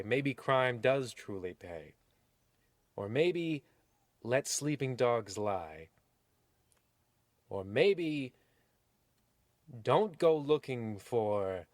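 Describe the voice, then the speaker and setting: A man performs a wry, ominous host of an old-time radio horror spoof. He speaks at a middling pitch with mock solemnity and deliberate pauses. The recording is very dry. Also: dry recording. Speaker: narrator.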